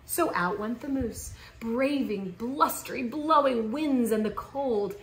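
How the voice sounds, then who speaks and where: A woman in her thirties reading a children's picture book aloud in a theatrical, expressive way with drawn-out emphasis. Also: a little high. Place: living room at home, indoors. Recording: roomy home recording.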